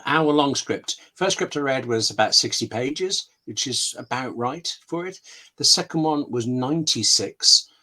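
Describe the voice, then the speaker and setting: A man talks as an interview guest about his craft, in a mid-pitched voice. His delivery is conversational and emphatic, often breaking off into asides and anecdotes. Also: expressive, a little slow, sharp tone. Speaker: scriptwriter, webinar guest.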